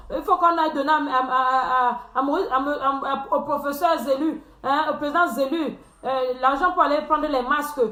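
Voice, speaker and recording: Woman, live-stream host, high mocking voice, echoey room, teasing and laughing. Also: fairly bright tone. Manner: teasing, laughs often, repeats phrases.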